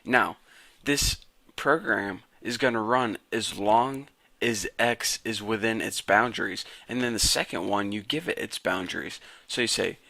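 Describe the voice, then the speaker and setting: Man, raspy gravelly voice, bright tone, dry close mic, casual coding tutorial. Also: lowish voice, unhurried, no echo. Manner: casual, chatty, talks to viewers as guys. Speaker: programming tutor.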